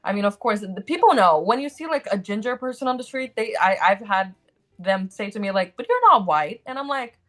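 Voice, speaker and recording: A woman's voice: medium pitch, lively intonation, a little fast, a bit bright, soft background music. A young woman in her twenties from Brazil, with a Brazilian accent, chatting as an interview guest and filling her talk with "like" and "um". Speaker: guest, actor. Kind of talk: casual interview chat.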